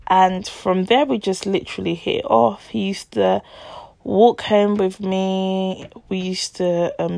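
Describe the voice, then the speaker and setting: Chatty young woman with heavy vocal fry. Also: medium pitch, darkish tone, no room sound. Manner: chatty, confiding, addresses listeners as guys. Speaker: podcast host telling a personal story. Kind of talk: casual storytelling.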